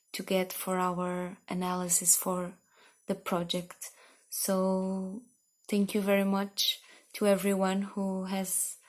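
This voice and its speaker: A young woman with a Portuguese accent, a Portuguese native speaker, talking about her translation work in a slow, halting way while she searches for words. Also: medium pitch, darkish tone. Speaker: research project translator. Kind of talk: recorded project message. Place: indoor room.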